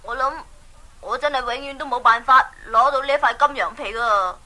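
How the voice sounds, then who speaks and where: A woman's voice: high voice, moderate pace, bright, forceful tone. A woman with a Hong Kong Cantonese accent playing a brave, humble young male hero in a children's radio drama. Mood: grateful, earnest.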